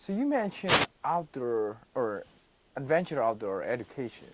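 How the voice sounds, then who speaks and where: A man plays the host of a student-made TV interview, his voice rising and falling in lively swings. He asks polite, formal questions and cheers each answer. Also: medium pitch, normal speed, rough recording. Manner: enthusiastic, formal interviewer phrasing. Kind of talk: mock TV show hosting.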